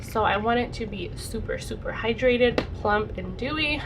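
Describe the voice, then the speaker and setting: Young woman w/ a cold, kinda raspy and stuffy, chatty, lots of likes, higher voice, noisy home recording. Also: moderate pace. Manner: chatty, rambling, lots of "like" and "super". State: slightly raspy and congested from a cold. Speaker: beauty vlogger. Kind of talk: casual makeup tutorial chat.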